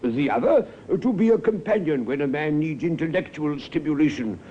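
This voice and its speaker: A man playing a well-off, opinionated elderly Edwardian husband in a 1950s radio drama, pompous and deliberate. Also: on the high side, lively intonation, mellow, close to an everyday voice. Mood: self-satisfied. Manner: pompous, deliberate, hesitating for effect. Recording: muffled, hissy old radio recording.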